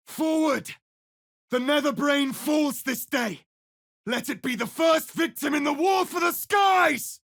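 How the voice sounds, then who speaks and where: An actor playing a proud, stern, noble alien rebel leader in a fantasy video game, speaking formally and with deliberate, solemn authority. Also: male voice, mid-range voice, fairly bright tone, dry recording, studio quality. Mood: battle cry. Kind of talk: dramatic fantasy game acting.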